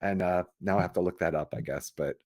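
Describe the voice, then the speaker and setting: A man co-hosting a casual chat about computer history, haltingly thinking out loud as he goes. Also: low voice. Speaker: podcast co-host.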